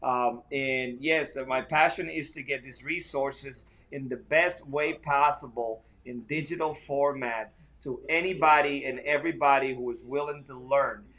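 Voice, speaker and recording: A man's voice: medium pitch, fairly even intonation, measured pace, few pauses, a bit bright. A man from Costa Rica, a ministry director, talking earnestly and upbeat in a casual livestream chat from a hotel. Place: hotel room.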